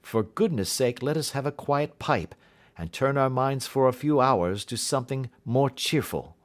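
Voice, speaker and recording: A man voicing a keen, assured detective in an audiobook, explaining things precisely and deliberately. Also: medium pitch, expressive, pitched above the performer's own voice, natural voice. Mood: grim. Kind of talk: audiobook character dialogue.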